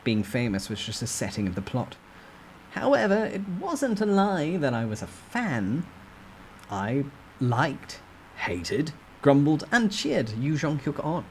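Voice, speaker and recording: A man narrating a first-person novel in a dramatic, expressive storytime ASMR style. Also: on the high side, slow, slight background noise, rough recording.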